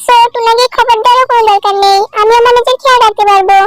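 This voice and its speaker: A woman's voice: extremely high pitch, fairly even intonation, racing, dry recording, voice pushed up. A woman voicing a caring, firm female bird wife in a children's cartoon, coaxing and insistent. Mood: resigned, insistent.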